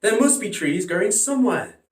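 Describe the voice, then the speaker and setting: A man voicing an excitable, bearded storybook ark builder who exclaims and hollers in drawn-out calls. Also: high voice, pitched above the performer's own voice. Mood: delighted. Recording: roomy echo, distant from microphone.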